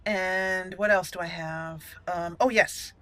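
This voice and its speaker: A woman's voice: fairly low pitch, steady tone, takes it slowly, music in the background. A woman giving casual let's play commentary as a channel host, thinking aloud and repeating words as she goes.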